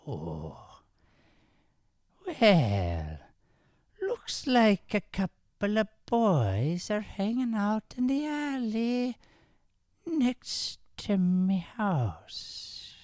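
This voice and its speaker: A man playing a menacing Irish householder in an improvised radio comedy, taunting with drawn-out threats and an Irish accent. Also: high-pitched, very animated, darkish tone, no room sound, clean studio sound, pitched above the performer's own voice, full character voice. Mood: sly menace. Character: menacing Irish householder guarding his alley. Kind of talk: improvised old-time radio comedy play.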